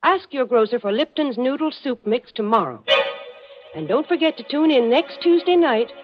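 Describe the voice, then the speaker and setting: Lively, cheery 1940s radio saleswoman. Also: high-pitched, normal speed, faint music behind. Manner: cheery, enthusiastic. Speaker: commercial spokeswoman. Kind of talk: 1940s radio sponsor pitch, scripted. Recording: dull, muffled old radio recording.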